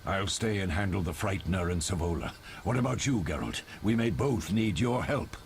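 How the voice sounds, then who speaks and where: A man playing a stern old mentor of monster hunters in a video game, measured and commanding. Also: low voice, lively intonation. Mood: resolute. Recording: game audio with faint background noise.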